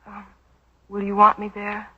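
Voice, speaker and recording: A woman's voice: medium pitch, monotone, a little slow, breathy edge. An actress in a 1940s radio drama, playing a young woman with polished period delivery. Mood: businesslike. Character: young woman, caring daughter or brisk cool secretary. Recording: dull, noisy 1940s radio recording.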